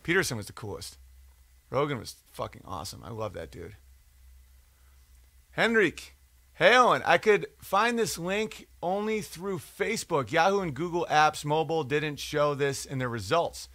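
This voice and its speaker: A man's voice: a little high, animated, normal speed, sharp tone, no echo. A man hosting a podcast, talking in a casual, digressive, profane monologue as he reads out and answers comments. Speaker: podcast or livestream host.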